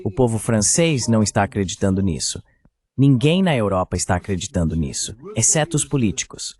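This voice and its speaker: A man's voice: lowish voice, animated, brisk, a little mellow. A man with a Brazilian accent gives dubbed political commentary in an emphatic, repetitive way. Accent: Brazilian Portuguese. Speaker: guest commentator.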